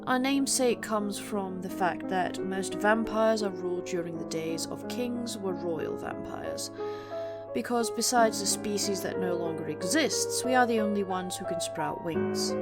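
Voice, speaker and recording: A woman playing an imperious, centuries-old but young-looking female vampire, speaking in a formal, measured, haughty way in an audio roleplay. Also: mid-range voice, lightly acted. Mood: proud, instructive. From England. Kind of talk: audio roleplay monologue to the listener. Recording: music under the voice. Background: plucked guitar music.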